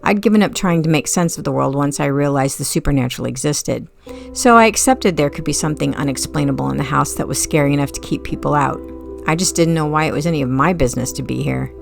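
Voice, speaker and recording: A woman's voice: low-pitched, quick pace, gravelly, music in the background. A woman reading first-person fiction as an audiobook narrator, in a wry, conversational way.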